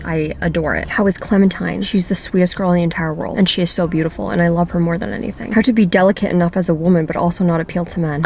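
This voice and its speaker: A woman in her twenties, a native Polish speaker, chatting casually through a Q&A vlog and swearing offhandedly. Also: fairly low pitch. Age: 20s. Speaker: YouTuber.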